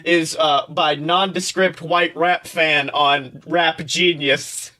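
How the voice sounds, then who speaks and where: Young man, slightly high pitch, sharp bright tone, animated podcast banter, slangy, profane, mock-serious. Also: slow. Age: young adult. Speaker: podcast co-host. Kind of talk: casual comedic chat.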